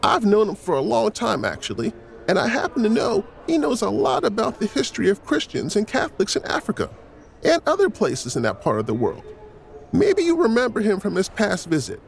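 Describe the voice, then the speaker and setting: An actor playing a kindly, upbeat church class teacher in an audio drama, friendly and encouraging. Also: male voice, high-pitched, huge pitch swings, fairly quick, gravelly, full character voice. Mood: helpful.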